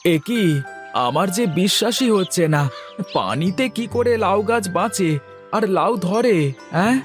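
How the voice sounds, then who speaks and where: A man's voice: sits high, animated, unhurried, soft background music. A man voicing a poor, anxious village husband and father in a cartoon, speaking in an earnest, plaintive dramatic way. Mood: amazed. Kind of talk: Bengali cartoon story dialogue.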